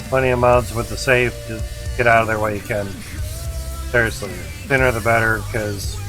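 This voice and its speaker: A man's voice: medium pitch, a bit bright. A man livestreaming a video game with casual, rambling commentary in short clipped phrases. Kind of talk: casual gameplay commentary, chatting with viewers.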